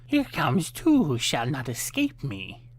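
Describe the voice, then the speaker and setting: A man voicing a wicked old witch from a storybook, with a theatrical, menacing, drawn-out delivery. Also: medium pitch, huge pitch swings, exaggerated voice. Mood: gloating. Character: wicked old witch, cajoling then cruel and impatient. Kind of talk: dramatized fairytale storybook reading.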